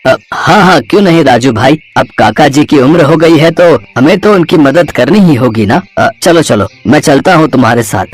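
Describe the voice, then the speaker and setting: A man's voice: a little high, animated, normal speed, hardly any pauses. A man voicing an honest samosa seller. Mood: cheerful. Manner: warm-hearted, eager, helpful.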